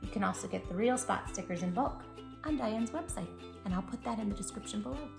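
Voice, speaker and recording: A woman host reading a picture book aloud to young children in an expressive, encouraging way, speaking straight to the listener. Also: mid-range voice, fairly quick, creaky, music in the background.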